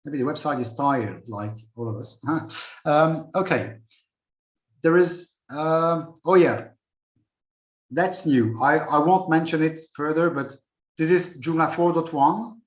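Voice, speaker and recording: Belgian guy demoing website settings, lots of ums, echoey room, kinda muffled audio. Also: a little high. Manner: unhurried, thinking aloud with many fillers. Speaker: presenter at a user group meeting. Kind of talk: screen-share software demo.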